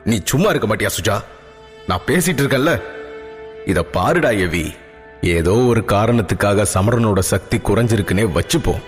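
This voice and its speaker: A man voicing a calm, gentle middle-aged father who soothes his daughter. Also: fairly low pitch, normal speed, long reverb tail, soft background music, pitched below the performer's own voice, lightly acted. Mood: soothing.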